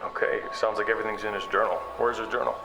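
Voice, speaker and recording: A man acting as a wary, skeptical investigator in a sci-fi audio drama, speaking in a halting, understated, conversational way. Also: fairly low pitch, flat delivery, gravelly, noisy background. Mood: skeptical, probing. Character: wary, skeptical male investigator broadcasting in secret. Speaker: narrator and lead character. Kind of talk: audio drama acting, confessional broadcast narration and dialogue. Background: prominent background music.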